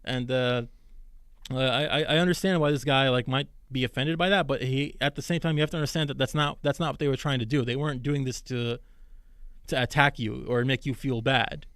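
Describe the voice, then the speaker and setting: A man from Iraq talks fast and loose on a live podcast, circling back with restarts and fillers. He sounds a little sleepy. The sound is close and dry. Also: mid-range voice, fairly even intonation, a bit bright, clear, resonant tone, no room sound. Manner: rambling, many restarts and fillers. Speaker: podcast host. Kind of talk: casual live podcast chat.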